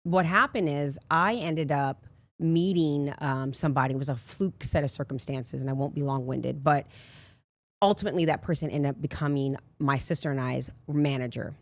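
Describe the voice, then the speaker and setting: A raspy woman in her forties, a life coach telling candid personal stories in a casual interview. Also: low-pitched, moderate pace. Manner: candid, rambling storyteller with frequent asides. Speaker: life coach, podcast guest.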